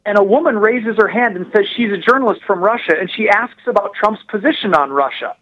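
A man on a phone line, explaining and storytelling as an interview guest in an animated, emphatic, precise way. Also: high voice, brisk. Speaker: political blogger, phone-in guest. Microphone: telephone. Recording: dull, narrow phone line.

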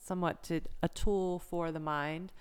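A thirty-year-old American woman with a low, very smooth and clean voice. She speaks informally and reflectively, like a yoga teacher chatting in an interview. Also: fairly even intonation, moderate pace. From United States. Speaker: yoga instructor, interview guest. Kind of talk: casual conversational interview.

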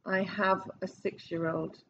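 A woman's voice: medium pitch, level tone, unhurried, a bit bright, highs cut off, a little hiss or hum. A woman in her late thirties, a cast member, talking enthusiastically and effusively in a rehearsal room. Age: 30s. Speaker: actor in the cast. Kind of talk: casual group interview.

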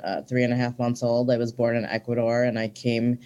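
An interview guest in their forties who grew up in Minnesota. They speak in an earnest, reflective way, stopping often on "um" as they think aloud. The voice sits at an ordinary middle pitch. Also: monotone, fairly quick, dark tone, dull audio. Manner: earnest and reflective, with frequent ums. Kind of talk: casual interview conversation.

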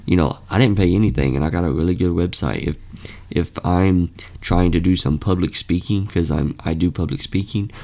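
Earnest young man with a gravelly low voice. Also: normal speed, darkish tone. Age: young adult. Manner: earnest, rambling with frequent fillers and restarts. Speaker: podcast host. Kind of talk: casual motivational podcast talk.

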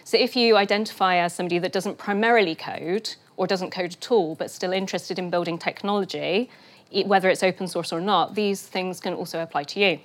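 A woman giving a lunchtime lecture, a little croaky from a cold, explaining terms slowly with fillers and restarts. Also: lowish voice. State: getting over a cold, a bit croaky. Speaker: guest lecturer. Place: lecture room.

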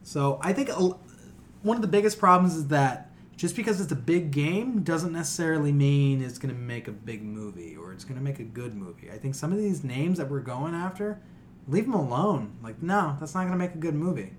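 A man co-hosting a podcast, talking casually and opinionatedly, with animated exasperation and casual swearing. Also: fairly high pitch, brisk, a little hiss or hum. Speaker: podcast co-host.

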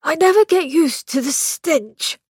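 A woman voicing a smug, sharp-tongued young male doctor who teases and scolds his sister. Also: sits high, lively intonation, unhurried, no echo, studio quality, pitched above the performer's own voice. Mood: teasing. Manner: teasing, exasperated.

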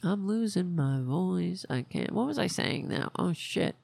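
A woman hosting a political podcast, hoarse from losing her voice, ranting in a rambling way and losing her train of thought. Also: lowish voice, lively intonation, unhurried, darkish tone, a little hiss or hum. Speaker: podcast host. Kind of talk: casual political rant.

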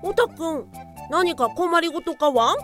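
A woman's voice: extremely high pitch, animated, measured pace, a bit bright, faint music behind, exaggerated voice. A woman voicing a friendly, helpful talking dog in a cartoonish comic audio drama, with cutesy phrasing. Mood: chirpy, helpful. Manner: cutesy, dog-like sentence endings.

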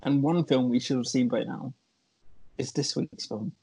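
A man's voice: mid-range voice, steady tone, normal speed, gravelly, dark tone, breathy edge, poor audio. A man co-hosting a podcast in casual, comic banter. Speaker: podcast co-host.

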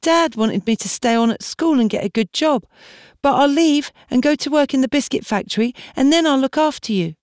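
Woman voicing a high-pitched pleading teenage orphan girl. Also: lively intonation, a little fast, darkish tone, no room sound, clean studio sound. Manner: earnest, imploring. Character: pleading, desperate fifteen-year-old orphan girl.

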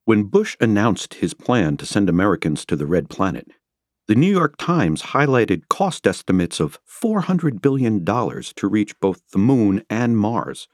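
A man reading nonfiction as a scripted audiobook narration, with measured, rhetorical and emphatic phrasing. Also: on the low side, very animated, dry recording, clean studio sound. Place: close, dry studio recording.

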